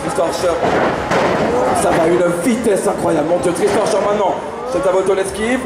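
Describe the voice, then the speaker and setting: Shouting wrestling commentator over roaring crowd. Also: male voice, sits high, fairly quick, recorded from a distance, heavy background noise. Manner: shouting, exclaiming. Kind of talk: excited live commentary. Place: indoor convention wrestling show. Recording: echoey hall, loud crowd and music.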